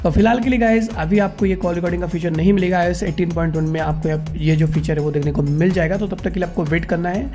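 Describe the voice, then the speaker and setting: A man's voice: high voice, steady tone, quick pace, hardly any pauses, mellow, soft background music. A man hosting a casual phone tutorial, explaining things repetitively and addressing viewers informally as friends. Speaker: tech tutorial host.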